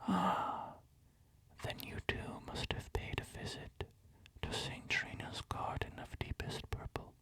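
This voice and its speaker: A man in a slow, hushed whisper, voicing a jealous male devotee who dreams of his saint's poison. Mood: yearning.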